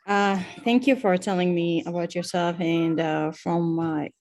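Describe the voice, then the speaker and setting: A woman's voice: lowish voice, fairly even intonation, measured pace, a little mellow. A woman interviewing a physician in a practice interview over a video call, hesitant and searching for her words. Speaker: interviewer, doctor.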